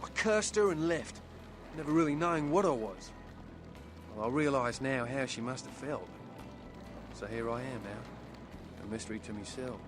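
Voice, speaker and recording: A man's voice: mid-range voice, animated, moderate pace, long pauses, music in the background, lightly acted. A man playing a young surfer turned outcast vampire in a video game, wistful and bitter, with a folksy, plain-spoken manner. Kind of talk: video game dialogue acting.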